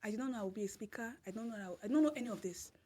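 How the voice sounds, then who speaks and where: Nigerian woman guest, slightly high and breathy, emphatic and repetitive storyteller. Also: racing, mellow. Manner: emphatic, repeats phrases, laughs through story. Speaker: podcast guest. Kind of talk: storytelling in casual interview.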